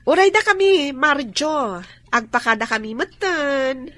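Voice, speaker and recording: A woman's voice: sits high, animated, measured pace, pushed and bright, full character voice. A woman playing a frank, sensible, concerned friend in an Ilocano radio drama. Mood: businesslike.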